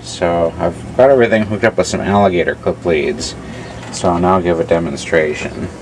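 A man narrating a casual repair demonstration in a halting, measured way. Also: low voice, slight background noise. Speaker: hobbyist radio repairer.

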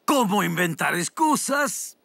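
A man's voice: sits high, very animated, takes it slowly, creaky, fairly bright tone, a little hiss or hum, full character voice. An actor in a Spanish-dubbed children's TV comedy playing a lazy, scheming man posing as a scout leader, theatrical and mocking. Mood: sly, boastful. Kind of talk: children's TV comedy acting, Spanish-language dub.

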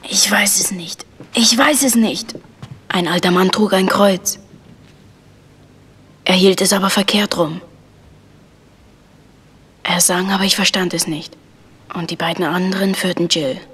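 A woman playing a frightened, secretive village boy in a German radio crime drama, hushed and hesitant. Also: fairly low pitch, fairly even intonation, unhurried, long pauses, breathy edge, big-room sound, exaggerated voice.

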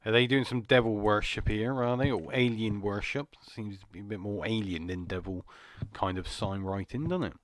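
A man with a slightly croaky voice, rambling and jumpy as he comments on a horror game. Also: fairly low pitch, fairly even intonation, moderate pace, few pauses, a little hiss or hum. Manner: rambling, jumpy, muttering to himself. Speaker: gaming channel host. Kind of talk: casual horror gameplay commentary.

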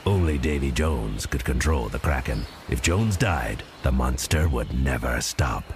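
A man with a gravelly voice narrating a scripted adventure audio drama as a storyteller. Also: rumbling low, lively intonation, measured pace, noisy background. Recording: loud music under the voice.

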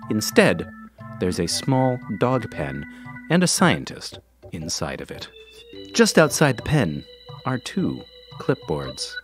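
A man playing a theatrical narrator of a children's play, speaking in a deliberate, mock-grand showman's way. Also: lowish voice, wildly swooping pitch, soft background music, full character voice. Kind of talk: scripted kids' play narration.

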